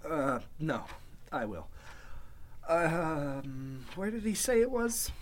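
A man's voice: fairly high pitch, very animated, slow, a little hiss or hum. A man playing an arrogant, capable, uneasy congressman in a golden age style radio mystery. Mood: guarded.

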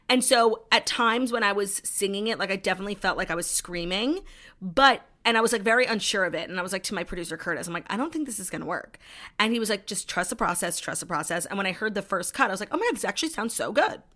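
Lively, blunt woman chatting. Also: mid-range voice, fast talker. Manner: blunt, swears, animated and teasing. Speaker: podcast co-host. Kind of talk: casual chat.

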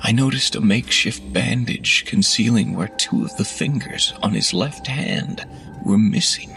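A man reading a horror story aloud in a measured, hushed delivery that drops to whispers, with faint music behind. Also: lowish voice, gravelly. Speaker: narrator. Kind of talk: scripted horror narration.

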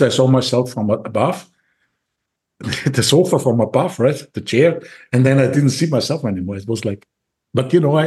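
A man's voice: medium pitch, darkish tone. A man with an Austrian accent, a podcast guest talking eagerly in a casual interview, with many restarts and fillers.

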